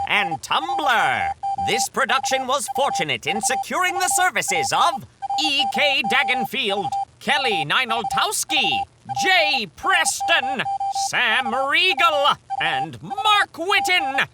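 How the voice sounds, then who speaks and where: A man reading the closing credits of an audio drama as announcer. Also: extremely high pitch. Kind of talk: scripted show credits. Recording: loud music under voice.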